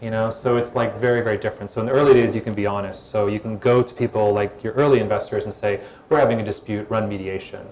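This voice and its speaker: A man answering audience questions at a startup event in a calm, relaxed, conversational way, on a mic in a hall. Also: on the low side, fast talker, few pauses. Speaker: guest speaker, former social media executive. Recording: echoey event hall with faint room noise.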